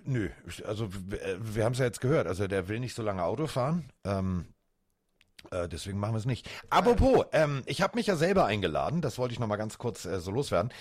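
A man co-hosting a casual podcast, animated and rambling, with many fillers and restarts. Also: on the low side, quick pace, dry recording, studio quality. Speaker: podcast co-host.

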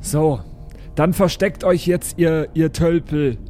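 Man, fairly high voice, improvised role-play talk, player at the table, faint music. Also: fairly even intonation, slow, warm tone. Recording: music behind the voice.

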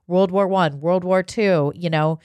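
A woman's voice: lowish voice, steady tone, a little slow, clear, resonant tone, no echo, dull audio. Woman co-hosting, dry close sound. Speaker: podcast guest co-host. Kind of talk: casual conversational discussion.

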